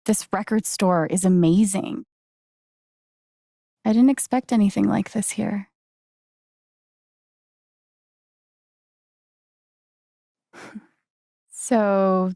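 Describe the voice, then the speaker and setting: A woman's voice: mid-range voice, fairly even intonation, takes it slowly, pauses often, warm tone, dry recording, studio quality. A woman voicing a friendly, wry young newcomer in a video game, talking in a casual, naturalistic, dryly joking way. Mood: amused. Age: young adult.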